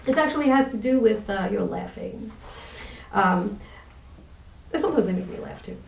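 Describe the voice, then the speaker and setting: A woman's voice: mid-range voice, lively intonation, normal speed, vocal fry. A woman who is an interview guest, a poet and teacher, talking and reading her poetry aloud. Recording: roomy echo, distant microphone.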